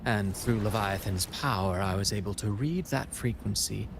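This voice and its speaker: A composed, philosophical mastermind villain in a game cutscene. His voice is fairly low, his phrasing lofty and eloquent, over faint ambient noise. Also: normal speed, creaky. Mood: smug, composed. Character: cool, grandiose mastermind villain, philosophical and self-assured. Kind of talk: dramatic video game cutscene acting.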